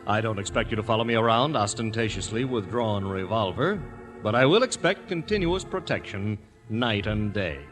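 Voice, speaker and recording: A man playing a vain, suave Hollywood director in a classic radio drama, speaking formally and smoothly. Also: on the low side, animated, moderate pace, a bit bright, faint music behind. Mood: formal, anxious. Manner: formal, self-important, smooth-talking. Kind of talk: classic 1940s radio acting.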